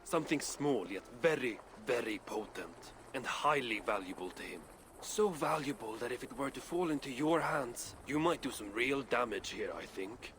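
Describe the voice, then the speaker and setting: An actor playing a proud, foul-mouthed dwarf craftsman in a video game, gruff and sardonic. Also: medium pitch, expressive, moderate pace, a bit bright, slight background noise, natural voice. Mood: earnest, scheming. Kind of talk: cinematic video game acting.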